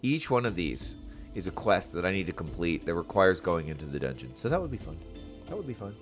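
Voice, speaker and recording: A playful male gaming streamer with a very lively, swinging delivery. He chats casually and exclaims freely as he plays, with game music running behind him. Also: deep voice, a little fast. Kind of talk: casual playthrough commentary. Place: dark room at home.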